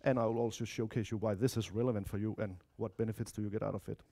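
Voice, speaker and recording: Mellow Danish-accented man giving a talk. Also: fairly low pitch, fairly even intonation, racing, vocal fry. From Denmark or Spain, of mixed Spanish-Danish family. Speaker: conference speaker, software developer. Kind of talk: informal technical talk with slides. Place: lecture room, indoors.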